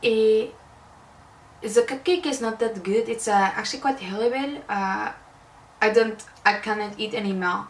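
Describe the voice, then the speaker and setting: A woman's voice: a little high, normal speed, roomy sound, recorded from a distance, slight background noise, lightly acted. A woman voicing a haughty male cupcake judge with a French accent. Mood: disdainful. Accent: French, put on. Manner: haughty, dismissive.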